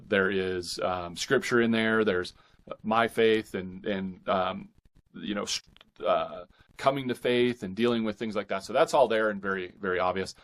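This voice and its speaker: Low-voiced man in a thoughtful interview. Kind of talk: conversational interview answers.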